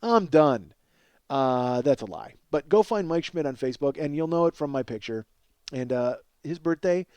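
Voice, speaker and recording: A man's voice: on the high side, lively intonation, moderate pace, a little mellow, clean tone, no echo. A middle-aged man hosting a comedy podcast in a casual, rambling monologue, swearing freely and poking fun at himself. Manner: profane, self-deprecating, exclamatory.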